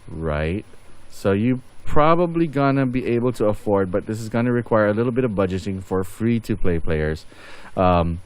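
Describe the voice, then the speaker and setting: A man giving casual game update commentary as a channel host, rambling and thinking aloud as he goes. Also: fairly low pitch.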